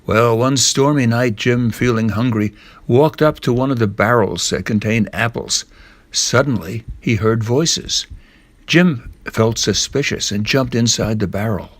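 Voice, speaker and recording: An older man reads a children's adventure story aloud. His delivery is measured and plainly scripted, with an occasional stumble. The sound is dry, with little room around it. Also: mid-range voice, dry recording.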